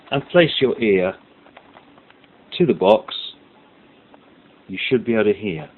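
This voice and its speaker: A man presenting a hands-on science tutorial, explaining each step methodically and stressing the key words. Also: fairly low pitch. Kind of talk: step-by-step instructional demonstration. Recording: muffled lo-fi audio with background hiss.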